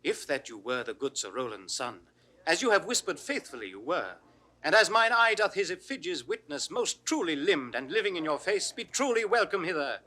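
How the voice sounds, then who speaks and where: A British actor playing a kindly exiled duke in a 1960s audio drama, stately and gracious in classical Shakespearean verse. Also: male voice, on the high side, big pitch swings, moderate pace, pushed and bright, heavily acted. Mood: gracious, welcoming. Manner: stately, declamatory. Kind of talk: classical Shakespearean stage acting.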